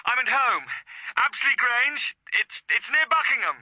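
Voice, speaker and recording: An actor playing an anxious young student, stammering nervously down a telephone line in a radio drama. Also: male voice, very high-pitched, animated, measured pace, bright, forceful tone, no echo, full character voice. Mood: frightened, pleading. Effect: telephone filter.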